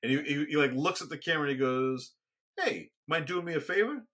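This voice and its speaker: A soft-spoken man co-hosting a casual podcast, rambling with frequent restarts. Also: lively intonation, brisk. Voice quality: booming when raised. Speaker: podcast co-host. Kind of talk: casual conversation. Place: home studio, remote recording.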